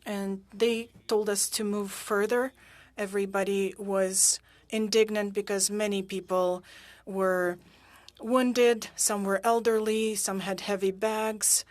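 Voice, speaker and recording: A woman interpreting witness testimony at a hearing into English, halting and pausing often with fillers. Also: mid-range voice, level tone, darkish tone, no room sound. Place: interpreter booth at a parliamentary hearing.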